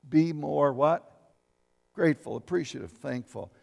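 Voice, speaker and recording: A man's voice: a little high, a little slow, vocal fry, a little mellow, big-room sound. A man in his seventies preaching a sermon as pastor, reading scripture aloud and asking the congregation questions. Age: 70s. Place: church sanctuary.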